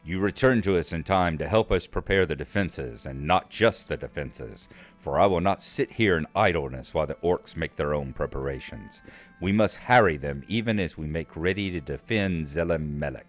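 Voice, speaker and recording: Very deep hoarse man with a cold. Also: normal speed, soft background music. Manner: relaxed, chatty, self-deprecating. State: hoarse from a cold, losing his voice. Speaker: let's play host. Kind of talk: casual gaming commentary, reading quest text aloud.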